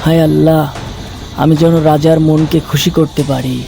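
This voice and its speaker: A man's voice: on the high side, flat delivery, normal speed, creaky, warm tone, faint music behind. A man voicing a humble, devout villager in a cartoon, earnest, anxious and pleading. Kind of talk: acted cartoon monologue.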